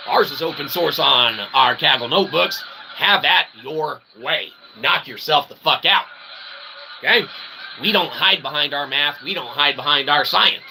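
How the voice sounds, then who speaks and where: Guy streamer w crackly fry voice, goofy fake-formal rambling, sweary, noisy recording. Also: a little high, pushed and bright. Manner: mock-formal wordy phrasing mixed with crude swearing; punctuates statements with short tag questions. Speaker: solo streamer and channel host. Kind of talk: rambling comedic pseudo-philosophical monologue. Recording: clearly noisy, with ambient background sound.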